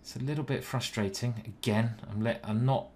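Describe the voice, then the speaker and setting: A man's voice: mid-range voice, brisk, darkish tone, slight background noise. A young British man streaming live, chatting casually and thinking aloud about his chess moves.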